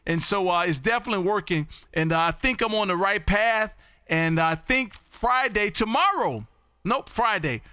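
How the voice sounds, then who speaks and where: Loud, bright-voiced ham operator. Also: male voice, sits high, animated, moderate pace, smooth, no echo, clean studio sound. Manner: loud, folksy and repetitive, calling out call signs. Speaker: ham radio operator hosting a live stream. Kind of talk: casual on-air radio chat. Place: home radio room.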